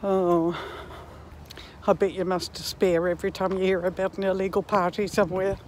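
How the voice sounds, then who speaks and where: A woman in her sixties giving a reflective, halting monologue to herself and her viewers as she walks outdoors. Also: mid-range voice, flat delivery, darkish tone, a little hiss or hum. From Netherlands and New Zealand. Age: 60s. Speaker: vlogger. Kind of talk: reflective walking vlog monologue. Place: outdoors, walking in a park.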